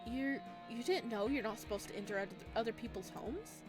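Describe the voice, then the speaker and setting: A woman's voice: a little high, normal speed, music in the background, natural voice. A woman voicing a friendly, puzzled visual novel protagonist in a casual, placating way.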